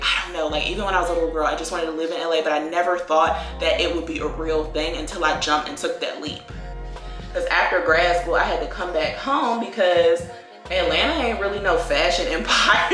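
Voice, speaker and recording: A woman's voice: fairly low pitch, bright, forceful tone. Chatty young woman in an echoey room. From Virginia, USA. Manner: chatty, drawn-out phrases, lots of likes and y'all. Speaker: beauty vlogger. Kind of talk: casual get-ready-with-me chat. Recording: echoey room with faint music.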